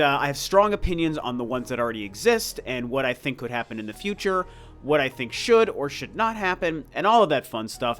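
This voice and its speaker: A man in his forties hosts a casual video game commentary with a congested, slightly scratchy voice. His pitch sits a little high, and he speaks emphatically, like an opinionated fan. Faint music plays behind him. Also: fairly bright tone. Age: 40s. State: congested, sick with a cold. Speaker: video game review channel host.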